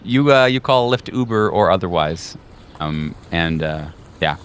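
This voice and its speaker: A man's voice: low voice, animated, measured pace, clear, resonant tone. A man improvising narration as game master, in a casual, conversational way with some swearing.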